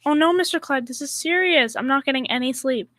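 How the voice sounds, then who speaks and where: A woman's voice: high voice, a little fast, fairly bright tone. A young female performer playing a dim-witted, sweet, naive secretary in old radio comedy style. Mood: dim, earnest. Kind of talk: 1940s radio comedy acting.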